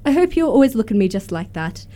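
A woman's voice: medium pitch, sing-song, quick pace, mellow, natural voice. A woman playing a self-assured, romantic young Victorian lady in a radio comedy, with an affected, emphatic manner. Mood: gushing, self-assured. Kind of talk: stage-comedy radio acting.

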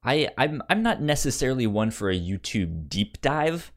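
A man hosting a podcast in casual conversation, halting and stuttering on the first sounds of words, with an enthusiastic manner. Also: mid-range voice, big pitch swings. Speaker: podcast review show host.

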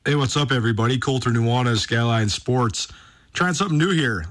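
Male sports radio host, medium pitch, chatty and informal commentary with an enthusiastic streak. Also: a little fast, a bit bright.

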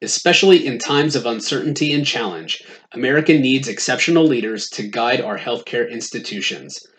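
A man's voice: mid-range voice, expressive, normal speed, a bit bright. A man reading a polished, persuasive sponsor ad. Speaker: ad announcer. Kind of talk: scripted ad read.

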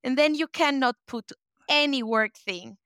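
A woman's voice: high voice, a little slow, smooth, dry recording, clean studio sound. A woman from Colombia, a native German speaker with a Spanish-tinged accent, talking as a podcast guest and often laughing. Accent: Spanish-influenced. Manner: warm, laughs often, repeats words while searching for phrasing. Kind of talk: conversational interview.

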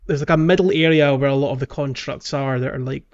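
A man's voice: medium pitch, quick pace, muffled recording. A man co-hosting a casual podcast, explaining a video game in a hesitant, piece-by-piece way. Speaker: podcast co-host. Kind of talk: casual game walkthrough chat. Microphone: podcast voice recording.

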